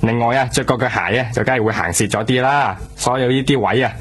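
Chatty police colleague, medium-pitched voice, Hong Kong Cantonese accent, easygoing, colloquial, radio drama. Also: male voice, fast, fairly bright tone, husky. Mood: matter-of-fact. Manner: casual, colloquial. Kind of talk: casual radio drama dialogue.

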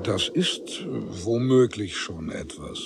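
A man acting as a pensive narrator in a literary radio drama, deliberate and questioning. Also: fairly low pitch, animated, background noise. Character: pensive male narrator weighing a dead man's story.

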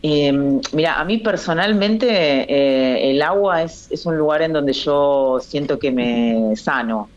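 A woman's voice: deep voice, slow pace, hardly any pauses, highs cut off. A woman from Córdoba province in Argentina, speaking with a Santa Fe accent, chatting as a singer-songwriter guest, hesitant and full of restarts. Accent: Santa Fe Argentine Spanish. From Córdoba province, Argentina, near Santa Fe. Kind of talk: phone interview, casual chat.

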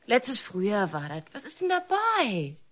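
An actress playing a spirited, defensive young daughter in a radio drama, protesting in colloquial speech. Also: female voice, a little high, wildly swooping pitch, a little slow, fairly bright tone, heavily acted. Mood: defensive, exasperated. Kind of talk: German radio drama acting.

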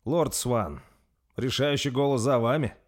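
A man's voice: mid-range voice, measured pace, pitched above the performer's own voice, lightly acted. A man voicing an arrogant, hot-tempered young prince who barks out orders in a storybook reading. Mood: smug. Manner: imperious, shouting orders.

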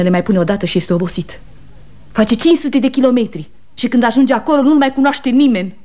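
Radio drama, woman playing aging wife and mother: high voice, fiercely loyal, emphatic, pleading, reproachful. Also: darkish tone, close to an everyday voice. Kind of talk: 1960s Romanian radio theatre acting.

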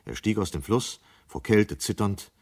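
A male actor narrating a dystopian science fiction radio play in a grave, measured, literary manner. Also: on the low side. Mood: grave, suspenseful. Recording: 1970 radio play studio recording.

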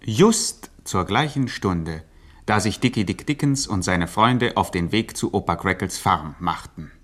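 An actor narrating a gangster satire for radio in a scripted, mock-serious manner. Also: male voice, deep voice, animated, measured pace, fairly bright tone, full character voice.

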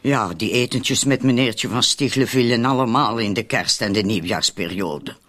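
An actor playing a wary, needling woman in a radio drama, with a husky voice. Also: on the low side, moderate pace, fairly bright tone. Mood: accusing. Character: wary, needling woman named Marilyn, accomplice in poisonings.

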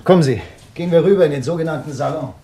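An actor playing an inquisitive, persistent crime writer in a radio drama, brisk and questioning. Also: male voice, fairly high pitch, dark tone, slight background noise, natural voice. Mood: restless. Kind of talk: German radio drama acting, conversational.

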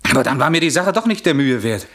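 An evasive gentleman suspect in a radio crime play. His husky, medium-pitched voice jumps about expressively. He sounds defensive and agitated, hesitating as he insists on his innocence. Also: big pitch swings, fast talker. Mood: rattled, defensive. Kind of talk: German radio crime drama acting.

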